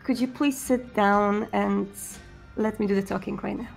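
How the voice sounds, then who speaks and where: A woman acts out a composed male vampire prince in a tabletop game. The speech is formal, measured and a little hesitant, with weary courtesy. Faint music plays behind. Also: mid-range voice, fairly even intonation, vocal fry, natural voice, low-quality recording. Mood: exasperated.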